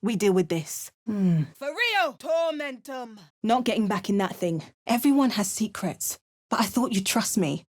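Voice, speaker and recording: A woman's voice: fairly high pitch, a little slow. A woman with an English accent voicing a hardened, wry female adventurer in a video game, making dry remarks and chanting spells. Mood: incanting. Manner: chants spell words in a lively, sing-song way. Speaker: player character.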